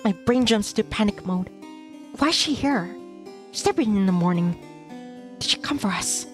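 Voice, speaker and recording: A woman streamer reading a visual novel aloud in dramatic first person, tense and expressive, in short clipped phrases. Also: medium pitch. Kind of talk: dramatic first-person narration of a game script. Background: game soundtrack music.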